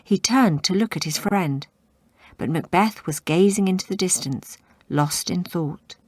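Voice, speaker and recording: A woman's voice: lowish voice, measured pace, dry recording. A woman reading a story aloud as a scripted read-along narrator.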